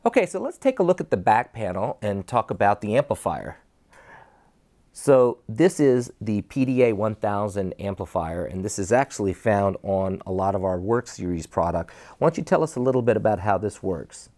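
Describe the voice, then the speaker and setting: Low-voiced man hosting a product talk. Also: big pitch swings, moderate pace, dark tone. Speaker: host interviewing and presenting products. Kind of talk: casual product presentation talk. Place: indoor studio set, seated.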